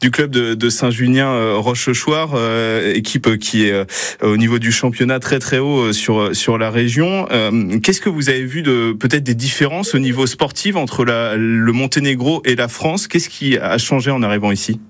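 A man's voice: mid-range voice, moderate pace, dry recording. A man hosting a local morning radio show, talking conversationally and asking the guest questions with some hesitation. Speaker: radio host.

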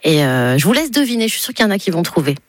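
A woman's voice: fairly low pitch, very animated, very fast, dry recording. Chatty woman, close dry sound. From Nice, southern France. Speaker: interview guest, stage performer. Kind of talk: casual chat.

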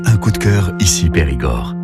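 A man's voice: low voice, very animated, normal speed. A man reading a produced radio station jingle as announcer. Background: loud guitar music.

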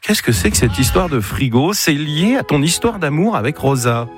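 A man's voice: fairly high pitch, sing-song, moderate pace, over music. A man with a Parisian French accent co-hosting a radio game, joking with callers. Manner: jokey, exclamatory. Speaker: radio co-host.

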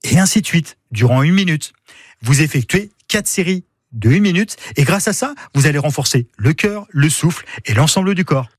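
A man's voice: on the high side, lively intonation, moderate pace, husky, dry recording, clean studio sound. A man, a sports coach on the radio, guiding listeners through breathing exercises in an upbeat, encouraging way.

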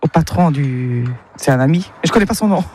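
A cheerful woman from Italy, chatting in a street interview, laughing easily and talking haltingly. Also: low voice, lively intonation, dark tone, a little hiss or hum. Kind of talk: casual chat.

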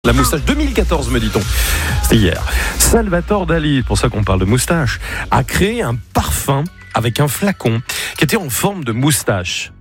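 A man's voice: medium pitch, moderate pace, faint music behind. A man hosting a radio game show, exclamatory and playful with contestants. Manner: animated, exclamatory, quizmaster.

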